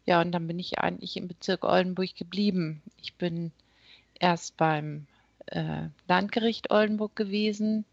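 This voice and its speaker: A middle-aged woman from northern Germany, a judge and court president, answering interview questions in a measured way with frequent hesitations. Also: fairly low pitch, steady tone, vocal fry, no echo, muffled recording. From East Frisia, Germany. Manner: measured, many hesitation sounds.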